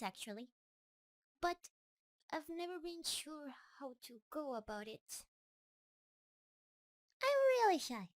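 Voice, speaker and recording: A woman playing a shy, sweet, lovestruck spider monster in an intimate roleplay audio, nervous and hesitant in her delivery. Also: high-pitched. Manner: nervous, hesitant, stammering, gushing.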